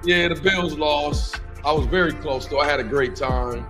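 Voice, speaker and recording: A hoarse man in his thirties, losing his voice, hosting a casual livestream from a small room and greeting viewers by name. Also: on the high side, normal speed, over music. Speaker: livestream host.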